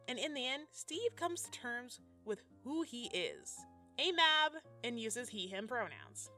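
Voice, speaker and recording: A woman's voice: a little high, a bit bright. A woman narrating a scripted video commentary in a sassy, conversational way, with slangy asides. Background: background music.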